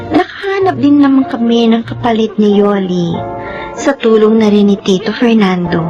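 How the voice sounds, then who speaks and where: Radio drama lead: earnest young female storyteller, unhurried, muffled sound over music. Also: a little high. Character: Jamie, earnest young woman telling her life story. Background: dramatic background music.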